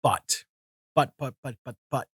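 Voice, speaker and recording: A man's voice: mid-range voice, unhurried, fairly bright tone, breathy edge, no room sound, clean studio sound. A man in his twenties with a cold and a nasal voice, rambling casually through his opinions with many fillers, restarts and casual swearing. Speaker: comics video host.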